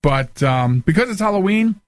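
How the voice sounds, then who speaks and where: Higher-pitched man hosting a casual show. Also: animated, normal speed, no echo. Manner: informal, repeats and rephrases himself. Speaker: talk show host. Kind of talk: casual solo radio show chat.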